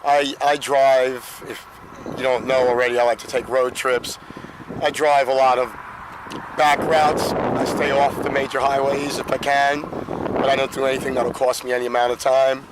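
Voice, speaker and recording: A man in his fifties talks casually to his vlog audience in a hoarse, mid-pitched voice. His delivery is folksy and chatty. Clear noise from traffic and the outdoors runs behind him. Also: level tone, moderate pace. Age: 50s. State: hoarse, losing voice. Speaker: vlogger. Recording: noisy car and outdoor ambience.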